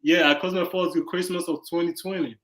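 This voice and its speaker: A young man from Nigeria telling his story as an interview guest, in a halting, easygoing way with many pauses and fillers. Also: fairly high pitch, fairly even intonation, fairly bright tone.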